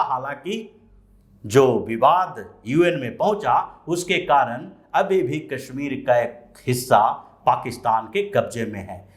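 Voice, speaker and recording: A man delivering explanatory political commentary as a news commentator, sometimes posing rhetorical questions. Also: mid-range voice.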